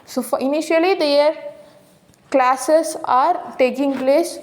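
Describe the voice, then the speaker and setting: A woman's voice: sits high, slow, a little hiss or hum. A woman with a South Indian accent teaching, working through exam questions and explaining answers step by step, with small checking tags. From Tamil Nadu, India. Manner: explanatory, checks with "right?" and "okay". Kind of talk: exam question walkthrough lesson.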